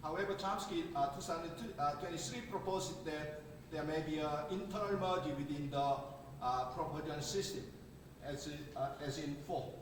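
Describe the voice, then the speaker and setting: A man with a Korean accent giving an academic conference talk, halting, with many filler sounds and restarts. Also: fairly high pitch, slight background noise. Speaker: conference speaker. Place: large conference hall. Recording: echoey hall recorded from far off.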